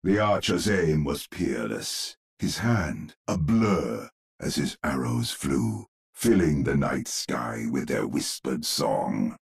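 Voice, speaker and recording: An actor playing a grave old storyteller, narrating a gothic horror tale for a video game in a husky, gravelly voice with theatrical, ominous phrasing. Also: male voice, a bass voice, expressive, slow, exaggerated voice. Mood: ominous, measured.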